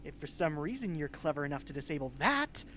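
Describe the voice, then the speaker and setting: Radio play role: woman as a sarcastic, threatening minder, very animated pitch, noisy recording. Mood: stern, threatening. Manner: sarcastic, bossy, deliberate. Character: sardonic, threatening guardian who relishes giving orders. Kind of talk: amateur radio drama acting.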